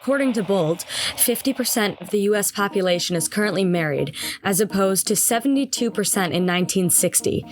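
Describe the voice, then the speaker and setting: A woman's voice: medium pitch, lively intonation, brisk, slight background noise. A woman reading statistics in a matter-of-fact, scripted announcement style.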